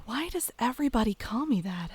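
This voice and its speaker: A woman playing a whimsical, sweet but stubborn young druid princess in a fantasy audio drama, gentle and airy. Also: on the high side, expressive, brisk, breathy edge. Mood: earnest, gentle.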